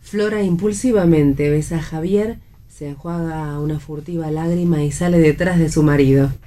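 A woman's voice: deep voice, moderate pace, mellow. A woman narrator reading the stage directions of a play in scripted narration.

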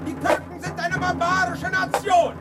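An obsequious soldier in a radio drama, reporting in clipped phrases. His voice is extremely high and set back from the microphone, with loud music behind. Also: little pitch movement, moderate pace. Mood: servile, brisk. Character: obsequious military man reporting to his king. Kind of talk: German radio play acting. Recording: distant from mic, loud music.

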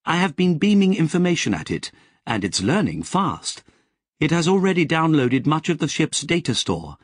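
A man doing a crisp, eager AI voice. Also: on the high side, very animated, moderate pace, no echo, clean studio sound, voice pushed up. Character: precise, curious male AI voice.

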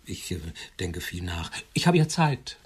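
An actor playing an elderly, lonely widower in a radio drama, courting with formal, awkward, old-fashioned politeness. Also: male voice, deep voice, big pitch swings, a little slow, husky, heavily acted. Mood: earnest, pensive. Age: older.

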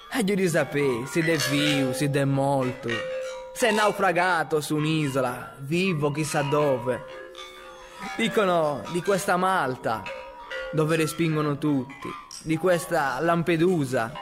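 Troubled son in his twenties, audio drama: lightish male voice, very slow, halting, questioning, over music. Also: fairly high pitch. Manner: halting, questioning, drawn-out phrases.

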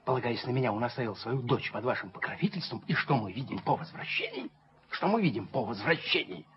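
A male actor as a prim, pompous gentleman in a vintage radio play. His middle-pitched voice moralises with theatrical indignation. The old recording is dull, with faint noise. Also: expressive, fairly quick, natural voice. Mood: scandalised. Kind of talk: Soviet radio drama acting.

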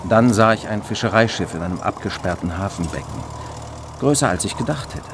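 A man's voice: lowish voice, expressive, a little fast, noisy background. An actor playing a sober, observant investigator who narrates his own case in a German radio drama. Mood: measured, atmospheric. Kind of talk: German radio drama acting, first-person narration and dialogue.

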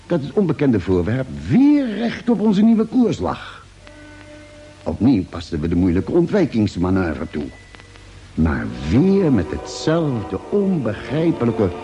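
A grave ship's doctor recounts a Mars expedition in a radio play. His voice is mellow and moves widely in pitch, with measured, solemn diction. Faint music runs underneath. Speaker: narrator and character in a radio serial. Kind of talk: dramatic radio play narration.

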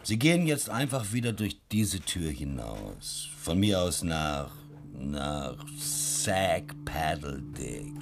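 A man's voice: extremely low pitch, very animated, slow, slight background noise, heavily acted. An actor playing a condescending, dismissive male boss in a radio drama, with a mocking, drawling delivery. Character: condescending, dismissive male boss turning away a job seeker.

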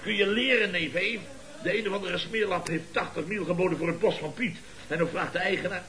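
An actor playing a blustering, boastful contractor boss in a Dutch radio comedy, with a pompous, theatrical, lecturing manner. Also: male voice, high voice, a little fast, crowd noise, lightly acted. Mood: bossy, conspiratorial. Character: blustering, boastful contractor boss and uncle, scheming wheeler-dealer.

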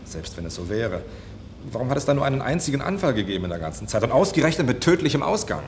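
A man's voice: mid-range voice, big pitch swings, fast talker, a little hiss or hum. A man acting an eager, impatient hospital doctor in a German radio drama. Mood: impatient, troubled. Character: man, eager hospital doctor defending his allergy theory.

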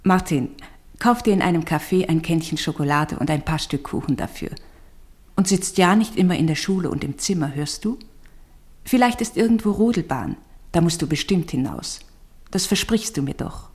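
A woman's voice: on the low side, expressive, normal speed, a little mellow, close to an everyday voice. An actress playing a poor, loving mother who reads her letter to her son in a tender, troubled, confiding way in a radio drama. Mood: tender concern. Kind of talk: scripted radio drama, letter read in voice-over.

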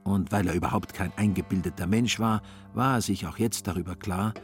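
Casting: male literary narrator, lowish voice, measured and expressive, faint music behind. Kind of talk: scripted literary narration for radio drama.

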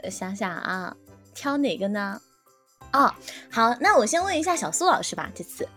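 A woman's voice: a little high, very animated, moderate pace, fairly bright tone, faint music behind. A woman hosting a casual interview chat among guests. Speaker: host.

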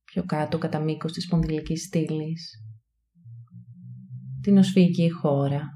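A woman leading a guided body scan meditation in a calm, soothing, hushed way, leaving long pauses between short phrases. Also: low-pitched, a little hiss or hum.

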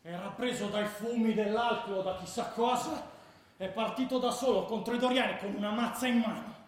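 A man's voice: high-pitched, moderate pace, lightly acted. A male actor playing a rowdy football ultra, relishing mayhem in vivid radio-drama storytelling. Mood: awed relish. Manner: vivid, swinging from hushed to explosive. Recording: echoey, distant, noisy room.

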